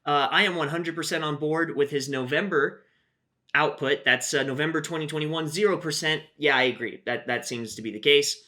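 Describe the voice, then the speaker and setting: A man with a fairly high, bright voice runs his own channel, talking casually about travel news. He keeps a wry, chatty tone and drops in frequent filler "uh"s as he walks through each point. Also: moderate pace. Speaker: YouTube host.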